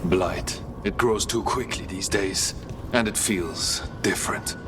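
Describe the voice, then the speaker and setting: An actor playing a stoic dwarf guardian in a fantasy game, speaking in a measured, formal and terse way. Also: male voice, deep voice, over music. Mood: grave, businesslike. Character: stoic, formal male dwarf guardian of a grim underground stronghold. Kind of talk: fantasy video game acting.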